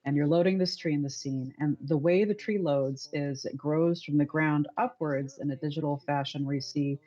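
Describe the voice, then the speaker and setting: Kinda high-voiced guy asking stuff, unsure, lots of uhs, muffled lo-fi sound. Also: steady tone, normal speed, warm tone. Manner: hesitant, searching for words. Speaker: creator asking questions. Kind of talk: casual chat.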